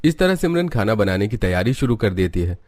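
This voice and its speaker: A man's voice: fairly low pitch, big pitch swings, fairly quick, a little mellow. A man narrating a scripted cartoon family story as a storyteller. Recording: music behind the voice. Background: music.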